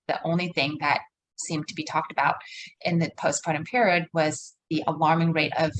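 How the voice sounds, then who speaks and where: A woman from Malaysia, a podcast guest, telling her story slowly and thoughtfully with pauses and fillers. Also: deep voice, slight background noise, low-quality recording. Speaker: guest, co-creator of a womb garden. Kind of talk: podcast interview, reflective storytelling.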